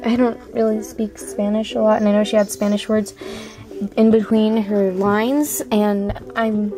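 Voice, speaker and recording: A woman's voice: mid-range voice, level tone, measured pace, warm tone, soft background music. A woman chatting casually and apologetically to online viewers as an amateur voice auditioner. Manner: self-deprecating, apologetic.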